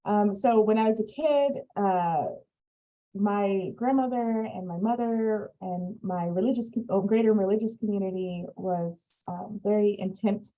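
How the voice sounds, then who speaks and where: A young researcher, a woman, telling how she got into science policy on a video call panel, reflective and full of fillers. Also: mid-range voice. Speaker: early career researcher guest. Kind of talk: personal storytelling on a panel. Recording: dull lo-fi call audio.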